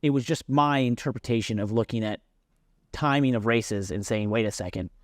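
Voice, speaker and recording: A man's voice: medium pitch, brisk, no echo, clean studio sound. Man explaining his take on a podcast. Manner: explanatory, self-correcting, interjecting. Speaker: podcast co-host and racing driver. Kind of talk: casual sports podcast discussion.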